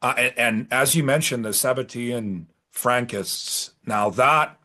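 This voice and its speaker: A male interviewer with a mid-pitched voice in casual conversation. He speaks tentatively, feeling his way through loose, hesitant phrases. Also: a little slow, sharp tone.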